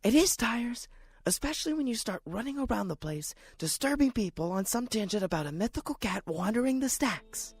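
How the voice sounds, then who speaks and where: A performer voicing a stern, skeptical woman librarian in a radio drama, reproving and a little motherly in a hushed way. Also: mid-range voice, expressive, fairly quick, slightly rough, dry recording, pitched above the performer's own voice. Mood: scolding.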